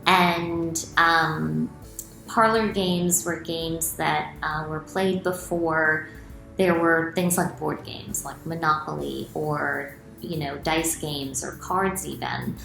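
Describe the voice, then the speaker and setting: A woman's voice: deep voice, echoey room, rough recording. A middle-aged woman, a children's author, reading aloud and explaining things expressively to children in a room at home. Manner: expressive, clearly addressed to children. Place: small room at home, indoors.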